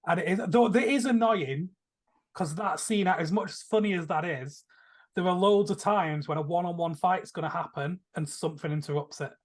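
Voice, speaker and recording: Guy with a slightly high voice, rambly excited film chat on a podcast. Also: moderate pace. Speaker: podcast panelist. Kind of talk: casual film discussion.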